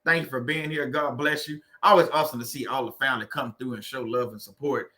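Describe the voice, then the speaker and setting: Bright-voiced man with a Louisiana accent. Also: medium pitch, steady tone, quick pace. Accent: Louisiana Southern US. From Louisiana, USA. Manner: loose, joking, greets viewers by name. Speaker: livestream host. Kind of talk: casual livestream chat with viewers.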